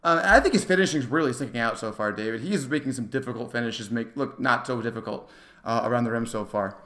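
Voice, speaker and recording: A man's voice: mid-range voice, quick pace, a bit bright. A man co-hosting a sports podcast, talking casually and thinking aloud as he gives his analysis.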